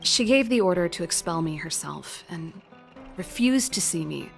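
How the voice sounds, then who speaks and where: A woman's voice: on the low side, animated, moderate pace, gravelly. A woman voicing a wry, confident exiled researcher in a video game, speaking in an articulate, theatrical way. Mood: pensive, bitter. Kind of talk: video game voice acting, expressive dialogue. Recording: game music playing behind the voice.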